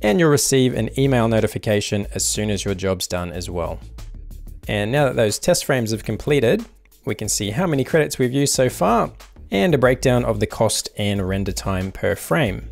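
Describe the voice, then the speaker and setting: A man hosting a screen-recorded software tutorial, explaining each step calmly in a voice-over. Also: fairly low pitch, normal speed, vocal fry, faint music behind. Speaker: tutorial host.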